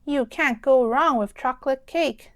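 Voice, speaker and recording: A woman's voice: high-pitched, unhurried. A woman voicing a cheerful, easygoing grown male pig, an assured father in a children's storybook.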